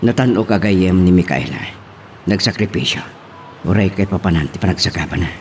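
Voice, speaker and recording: A man acting a gentle, lovelorn, sorrowful suitor in an Ilocano romance radio drama. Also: low voice, fairly quick, slight background noise, lightly acted. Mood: concerned.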